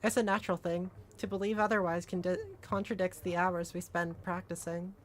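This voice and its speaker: A woman's voice: lowish voice, level tone, moderate pace, slight background noise. A woman hosting a casual gaming livestream, chatting and reading aloud from a visual novel. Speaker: streamer, vtuber host. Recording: music playing behind the voice.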